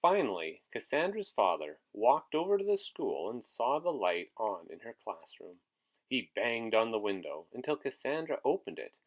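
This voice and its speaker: Expressive man reading a children's storybook. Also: medium pitch, normal speed. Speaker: kindergarten teacher reading a picture book aloud. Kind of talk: children's storybook read-aloud with asides to listeners.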